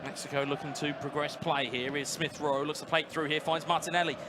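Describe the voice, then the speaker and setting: A man calling live esports football play by play, rapid and rising to shouts at big moments. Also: fairly high pitch, little pitch movement, background noise. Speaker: play-by-play commentator.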